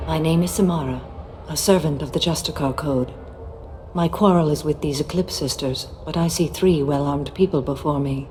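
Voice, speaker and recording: An actress playing a calm, implacable warrior-monk in a video game, speaking with formal, stately care. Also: female voice, low voice, normal speed, a little hiss or hum, lightly acted. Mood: solemn, courteous.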